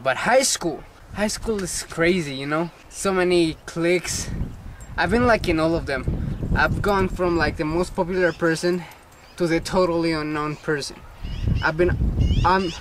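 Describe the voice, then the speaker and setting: A man's voice: fairly high pitch, measured pace, background noise. A young man turning eighteen, chatting casually and jokingly in an outdoor playground vlog, recorded on a camera mic. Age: young adult. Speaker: vlogger. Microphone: camera mic at arm's length.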